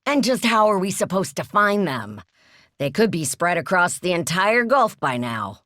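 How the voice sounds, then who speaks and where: A commanding old granny for a video game. Her voice is lowish with plenty of movement. She is sardonic and skeptical, recorded dry and close. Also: sing-song, moderate pace, fairly bright tone, dry recording. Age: older. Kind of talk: scripted video game acting.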